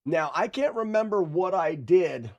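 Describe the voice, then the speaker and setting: A man's voice: high voice, expressive, a little slow, a little mellow, muffled recording. A man live streaming a game in a casual way, chatting to viewers and thinking aloud as he goes. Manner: talks to chat, thinking aloud, muttering.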